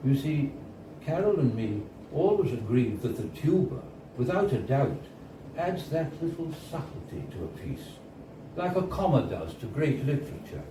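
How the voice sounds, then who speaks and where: A man gives a live literary reading with an ordinary mid-pitched voice. He tells the story in a measured, wistful way with deliberate pauses. The recording is distant and roomy, with clear noise behind him. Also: very animated. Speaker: reader of a short story. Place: indoors at a bar, live reading. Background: clear background noise and room ambience.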